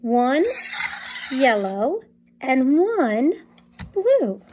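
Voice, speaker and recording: High, animated woman reading to small children. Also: slightly rough. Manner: sing-song, encouraging, asks questions and pauses. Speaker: children's story time reader. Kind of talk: animated picture-book read-aloud for young children. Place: small indoor classroom or playroom.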